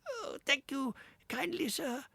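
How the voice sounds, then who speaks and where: A man voicing a vain, reckless male toad who crows and brags in a high voice. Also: sing-song, slow, gravelly, sharp tone, no echo, heavily acted. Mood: feeble. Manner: high, crowing, show-off.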